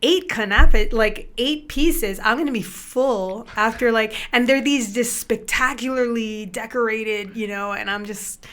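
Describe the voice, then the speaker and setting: A young woman whose first language is Spanish, chatting casually as a podcast guest, upbeat and quick to laugh. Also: on the high side, a bit bright. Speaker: guest musician.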